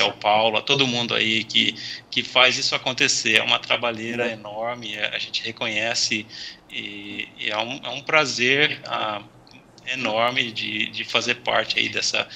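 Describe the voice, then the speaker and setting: A bright, gravelly man through a headset on a video call. He sounds cheerful and hesitant, filling gaps with "ah". Faint noise hangs under the call line. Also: lowish voice. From Brazil. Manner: cheerful, hesitant with many fillers. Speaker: guest speaker on a video call. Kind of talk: casual farewell remarks. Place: small home room.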